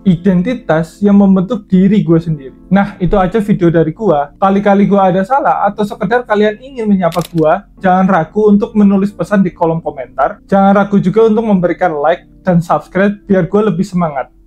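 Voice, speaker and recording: A young man from Banyumas with a Banyumasan Javanese accent, explaining in a relaxed, chatty vlog style. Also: high-pitched, a little fast, hardly any pauses. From Banyumas, Central Java. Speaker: YouTube host. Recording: slightly dull, faint music.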